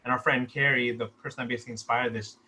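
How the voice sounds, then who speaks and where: A man's voice: medium pitch, little pitch movement, rapid-fire, sharp tone, slight background noise, poor audio. A man talking casually in a group discussion as a filmmaker, explaining his own work. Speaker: filmmaker discussing his short script at a table read.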